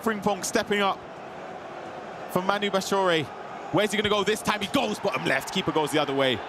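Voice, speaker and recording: A man co-commentating an esports football match with energetic, exclaiming delivery. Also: high voice, a little fast, noisy background. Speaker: esports co-caster. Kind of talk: sports commentary.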